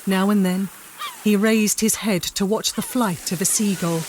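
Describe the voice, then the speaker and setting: Slow, grave female narrator. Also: mid-range voice, fairly even intonation, a little mellow, slight background noise. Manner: grave, deliberate storytelling. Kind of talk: dramatic scripted narration.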